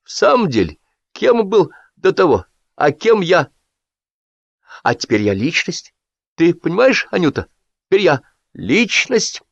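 Man doing a drunk pompous host, high and dragging, muffled dry audio. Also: sing-song, vocal fry, dry recording, exaggerated voice. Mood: sentimental toast. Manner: slurred, drawn-out toasting. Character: drunk older male party host, sentimental and pompous. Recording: dull dry lo-fi recording.

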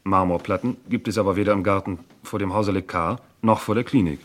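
Actor as low-voiced, methodical police inspector. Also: male voice, fairly quick, lightly acted. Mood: factual, methodical. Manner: precise, matter-of-fact, itemising. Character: diligent, methodical police inspector reporting to his chief. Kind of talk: German radio crime drama acting.

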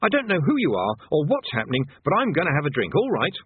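A man voicing a nervous, cowardly male wizard who talks his way out of trouble, hurried and sarcastic. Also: a little high, huge pitch swings, breathy edge, pitched above the performer's own voice, heavily acted. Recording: tinny phone-like dry sound.

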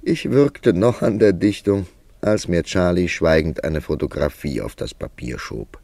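A man playing a world-weary, ironic writer who narrates his own tale in a measured, wry, literary German radio drama style. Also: low voice, expressive, gravelly, dark tone, dry recording, full character voice. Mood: wry, reflective.

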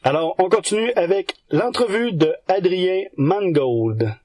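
A man's voice: high voice, expressive, unhurried, darkish tone, no echo, clean studio sound. A man with a Québécois French accent presenting a podcast news bulletin from partly scripted notes. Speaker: podcast segment host.

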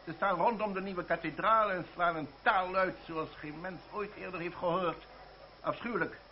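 A pompous, irritable royal prosecutor played by a man in a period radio play. His fairly high voice exclaims with haughty indignation. The recording is dulled and faintly humming. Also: fairly even intonation, normal speed, natural voice. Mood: irritated, haughty. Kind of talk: Dutch radio drama acting. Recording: muffled, noisy, humming old radio recording.

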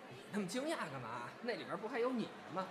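Man playing a lively, cheeky young crosstalk comedian. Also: high voice, fast, a crowd in the background. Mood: comic boasting. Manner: theatrical, teasing, playful. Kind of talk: crosstalk comedy dialogue in audio drama. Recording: loud background noise.

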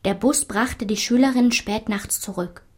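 Actress as higher-voiced, sober female memoir narrator. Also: moderate pace, lightly acted. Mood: sober recollection. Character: woman recalling her ashamed girlhood, sober and unsparing. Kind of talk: literary radio play narration.

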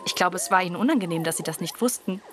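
A woman's voice: lowish voice, rapid-fire, close to an everyday voice. Radio drama role: actress as a bold young female secret agent, proud and defiant, over audible ambient noise. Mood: amused storytelling. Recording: clear background noise and ambience.

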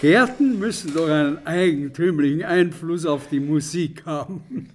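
A jovial gentleman with a rather high male voice and a very leisurely pace. His speech is flowery and amused, fit for period radio drama. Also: measured pace, soft background music. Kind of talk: German radio play acting.

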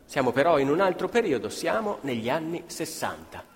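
An actor playing a proud, declamatory storyteller of workers' struggles in a radio theatre piece. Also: male voice, fairly high pitch, big pitch swings, brisk, noisy background. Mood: storytelling. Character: proud male storyteller recalling workers' struggles, breaking into shouted headlines. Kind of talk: stage radio theatre narration in Italian. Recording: reverberant hall, faint live music behind. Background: faint live music.

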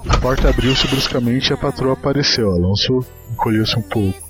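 A man's voice: mid-range voice, a little fast. Man narrating a story over music. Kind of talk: dramatic literary narration.

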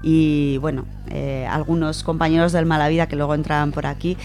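A woman's voice: low-pitched, level tone, a little fast, a little mellow. A woman with a Spain Spanish accent presenting an informal radio segment, chatting to listeners with familiar, colloquial asides. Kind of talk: informal talk from notes, biographical radio segment. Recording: music playing behind the voice. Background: music.

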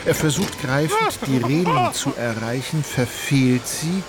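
A man's voice: mid-range voice, animated, a little slow. A man narrating a literary radio play with dramatic, ornate storytelling. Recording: loud music behind voice.

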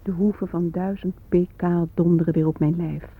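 An actress playing the Earth herself, a patient, wounded, mystical figure, in a deliberate and poetic radio drama monologue. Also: female voice, lowish voice, steady tone, husky. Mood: hushed, ominous. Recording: tinny, phone-like old radio recording.

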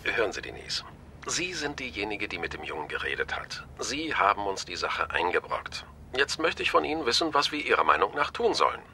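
An actor playing a shrewd media boss in a radio drama, polite but pointed, wry and authoritative. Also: male voice, on the low side, big pitch swings, a little fast, sharp tone, full character voice. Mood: pointed, businesslike. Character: brisk, shrewd male media boss, wryly amused, decisive.

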